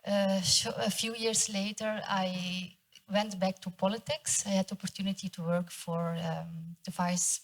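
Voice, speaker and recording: A woman from Belgium introducing herself on a panel in a relaxed, conversational way. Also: medium pitch, unhurried, rough recording. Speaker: panelist, industry veteran.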